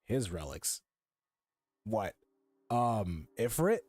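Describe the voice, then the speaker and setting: A man's voice: lowish voice, sing-song, drawn-out words, darkish tone, no echo, clean studio sound. A man giving casual, irreverent reaction commentary as he plays a game, puzzling things out aloud. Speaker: gaming streamer.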